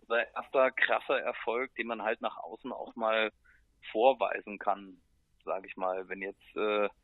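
A man speaking casually and colloquially as a musician in an interview, with hesitant pauses and filler sounds. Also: on the low side, little pitch movement, no echo, phone-quality sound. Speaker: metal band musician, interview guest.

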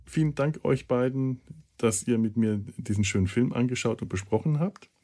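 A man's voice: mid-range voice, moderate pace, warm tone. A man hosting a casual podcast, rambling and stumbling over his words. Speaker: podcast host.

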